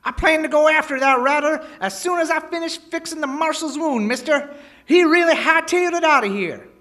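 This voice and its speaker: A man voicing a loyal, eager, excitable frontier mule skinner in an old-time Western radio drama, shrill and folksy. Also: a little fast. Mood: excited, determined.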